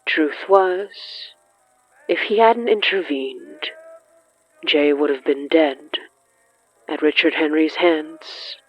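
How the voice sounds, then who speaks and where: A narrator reading scripted dramatic horror fiction in a measured, deliberate way with dramatic pauses. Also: medium pitch, no echo, dull audio. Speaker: narrator of an audio fiction podcast. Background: faint music.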